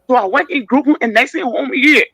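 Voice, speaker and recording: A woman's voice: high-pitched, fairly quick, fairly bright tone, dry recording. A woman with a Liberian accent calling in to a radio talk show, speaking emphatically and often stammering and repeating words. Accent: Liberian English. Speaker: caller.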